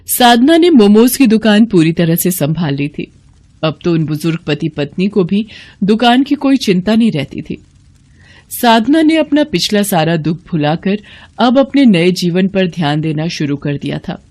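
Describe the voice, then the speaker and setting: A woman's voice: on the low side, expressive, moderate pace, darkish tone. A woman narrating a scripted moral bedtime story as its storyteller.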